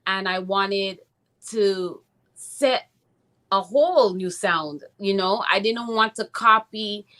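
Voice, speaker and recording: A woman's voice: mid-range voice, takes it slowly, a bit bright. A woman recording artist from Trinidad and Toronto, talking about her music in a casual interview, thoughtful and full of "um" and "you know". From born in Trinidad, raised in Toronto. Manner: reflective, with frequent "um" and "you know".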